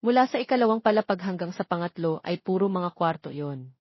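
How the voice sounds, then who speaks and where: A woman's voice: fairly low pitch, expressive, brisk, dry recording. A woman with a Filipino accent reading a scripted romance story as its narrator. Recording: slightly dull lo-fi sound.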